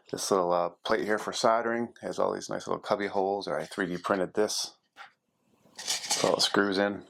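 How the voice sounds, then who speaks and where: A man's voice: low voice, gravelly, slight background noise. A man casually narrating a hands-on repair, thinking aloud as he works, like a DIY video host. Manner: thinking aloud while working. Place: indoors at a carpeted workspace desk.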